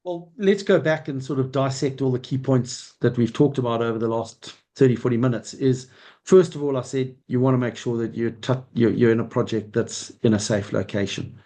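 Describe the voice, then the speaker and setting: A man's voice: mid-range voice, fairly even intonation, moderate pace, dark tone. A middle-aged man with a Zimbabwean accent, answering interview questions as a company chief, explaining things discursively step by step. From Zimbabwe, Southern Africa. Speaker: mining company chief executive being interviewed.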